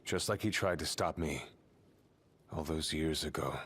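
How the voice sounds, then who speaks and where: An actor playing a seasoned, middle-aged male soldier who speaks in a measured, stern tone as a mentor. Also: extremely low pitch, a bit bright, husky, exaggerated voice. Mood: recounting. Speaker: video game character. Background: game score music.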